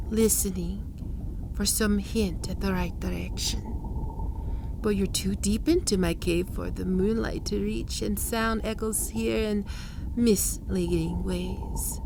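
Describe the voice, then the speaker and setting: A woman voicing a sly, predatory spider-woman who taunts her trapped prey in slow, breathy whispers for an ASMR roleplay. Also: medium pitch, background noise. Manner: taunting, teasing questions.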